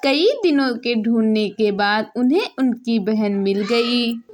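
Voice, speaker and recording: A woman narrating a scripted children's story in an expressive, dramatic storytelling manner. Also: a little high, slow.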